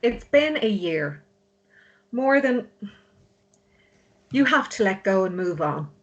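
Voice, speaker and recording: A woman's voice: medium pitch, big pitch swings, slow, lo-fi sound. A woman playing a blunt, worried, exasperated sister of a grieving drunk in a drama table read. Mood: firm. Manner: blunt, pleading.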